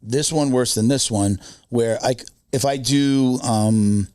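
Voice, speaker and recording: A man's voice: medium pitch, a little slow, darkish tone, no echo, clean studio sound. A man in his fifties, a podcast co-host chatting casually, rambling with many fillers and restarts. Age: 50s. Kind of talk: casual chat.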